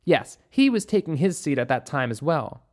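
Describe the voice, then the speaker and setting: A composed woman doctor as a narrator acts her out in an audiobook story. She speaks in a thoughtful, precise way, observant and measured, with a wry playful edge. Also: low-pitched, very animated, darkish tone.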